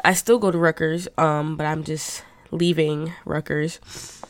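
A woman's voice: deep voice, dry recording, studio quality. A young woman hosting her own talk show, chatting casually and rambling with many fillers, her voice nasal and stuffed up from a cold. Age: young adult. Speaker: podcast host. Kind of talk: casual monologue.

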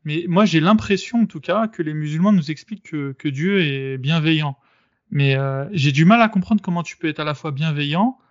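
A man on his own podcast talks through a debate in a loose, casual way. He hesitates a lot and restarts his sentences. His voice sits a little high and sounds dry and dull. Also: moderate pace, dry recording. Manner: hesitant, many fillers and restarts. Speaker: podcast host.

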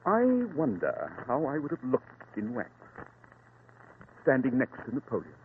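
A confident male detective, deductive, animated, husky, thin old broadcast sound. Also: medium pitch, unhurried, heavy background noise. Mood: explaining. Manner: crisp, deductive, self-assured. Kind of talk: 1940s radio acting. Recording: tinny old radio broadcast.

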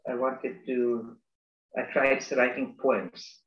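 A man from Bangladesh, an academic, answering interview questions in a thoughtful, halting way with many restarts. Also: mid-range voice, level tone, fairly bright tone, echoey room, muffled recording. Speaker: business school academic. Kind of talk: conversational interview.

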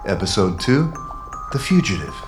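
A man's voice: deep voice, sing-song, normal speed. A man announcing the titles of a radio play in a presentational style. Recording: loud mallet music behind voice.

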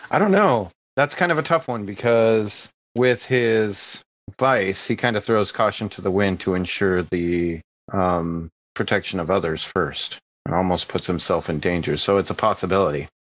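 Low-voiced man, dry close sound. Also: moderate pace, fairly bright tone, dry recording, muffled recording. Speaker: tabletop game player. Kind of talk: casual chat.

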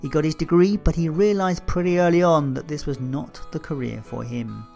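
Podcast host guy, gravelly voice, soft music under it. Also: on the high side, steady tone, moderate pace. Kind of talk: scripted intro then interview.

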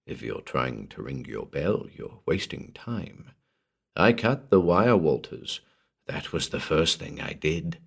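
Guy doing a soft creepy murderer for a story, deep husky voice, slow taunting menace, real quiet. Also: sing-song, full character voice. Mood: cold, murderous calm. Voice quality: low, husky, hushed. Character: softly menacing, vengeful murderous man. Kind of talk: dramatic audiobook character reading.

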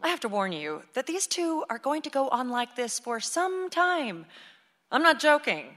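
Brisk narrator woman, highish voice, reverberant. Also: big pitch swings, fairly bright tone. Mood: exasperated. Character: brisk, exasperated woman narrator keeping a play moving. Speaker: actor as narrator and moderator. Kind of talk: radio play addressing the audience. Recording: long reverberant hall sound.

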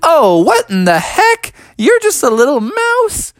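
A man's voice: fairly high pitch, very animated, moderate pace, no echo, clean studio sound, voice pushed up. A man voicing a young, good-natured frog adventurer, nervous and eager, with an earnest, hesitant way of talking, for a children's story. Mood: curious.

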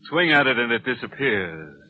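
An actor playing a ghoulish, mock-cordial horror host with macabre relish on an old radio show. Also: male voice, medium pitch, sing-song, close to an everyday voice. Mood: gleeful macabre. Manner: slow, drawn-out, chuckling, swooping. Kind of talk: 1940s radio horror hosting. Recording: tinny, noisy old radio recording.

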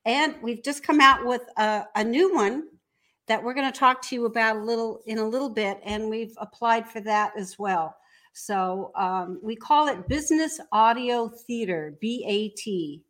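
A woman's voice: fairly high pitch, normal speed, a bit bright. A woman speaking as an entrepreneur guest, explaining her work conversationally in an interview.

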